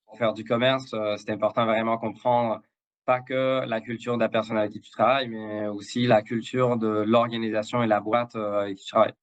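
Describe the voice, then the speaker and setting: A man's voice: fairly low pitch, level tone, brisk, highs cut off. Hesitant Mexican man, Québécois-tinged French. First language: Spanish. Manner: hesitant, many fillers and repeated words. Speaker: guest, international development director. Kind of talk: live interview.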